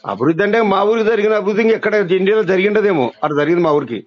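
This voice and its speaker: A man's voice: high-pitched, animated, racing. A man with a Rayalaseema Telugu accent speaking colloquially and emphatically on a phone call, complaining candidly in rural style. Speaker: local party leader being surveyed by phone. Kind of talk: candid phone conversation, airing grievances. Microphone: telephone. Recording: muffled lo-fi leaked phone call.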